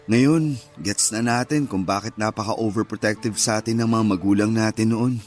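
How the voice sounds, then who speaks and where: An actor as calm, reassuring young husband. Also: male voice, fairly low pitch, moderate pace, faint music behind, natural voice. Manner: patient, explanatory. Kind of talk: radio drama dialogue.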